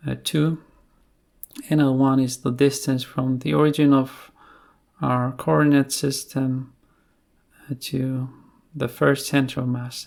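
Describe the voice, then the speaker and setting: A man narrating an unscripted technical tutorial, explaining things haltingly in short phrases. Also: medium pitch, gravelly. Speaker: tutorial presenter.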